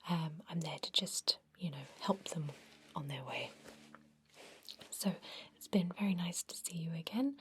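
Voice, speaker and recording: A woman playing a friendly, encouraging vampire doctor in a gentle, whispered ASMR medical roleplay, with hesitant pauses. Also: fairly low pitch, slightly rough.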